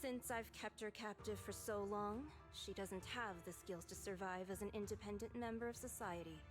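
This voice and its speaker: An actress playing a resolute, self-sacrificing female retainer in a dramatic video game, speaking in a solemn, measured way over music. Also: mid-range voice, lightly acted.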